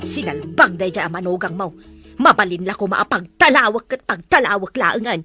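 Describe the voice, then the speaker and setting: A woman playing an indignant, meddling mother who is quick to scold in a family melodrama. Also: medium pitch, expressive, music in the background, natural voice. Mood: gossiping, indignant. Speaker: actor. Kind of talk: melodrama dialogue.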